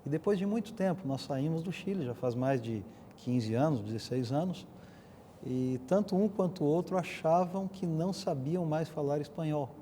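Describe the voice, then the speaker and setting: A man's voice: on the high side, animated, normal speed, warm tone, slight background noise. A middle-aged or older man with a Brazilian accent, telling stories conversationally as a panel guest. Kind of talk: conversational storytelling in a discussion.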